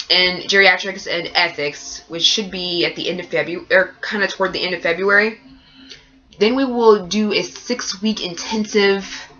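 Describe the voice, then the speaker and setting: A nursing student chatting through a casual vlog update, sounding nasal and congested from a cold and rambling along with lots of fillers. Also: female voice, medium pitch, pushed and bright, muffled recording.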